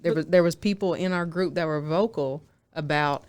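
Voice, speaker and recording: A young woman, a podcast guest, speaking in a measured, informative way. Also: low-pitched, darkish tone, clear, resonant tone. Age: young adult. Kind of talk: casual discussion.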